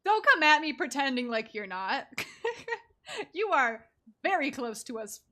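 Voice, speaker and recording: A young woman streams a game with casual, rambling commentary. Her voice is fairly high and sharply bright, with giggly asides. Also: sing-song, a little slow.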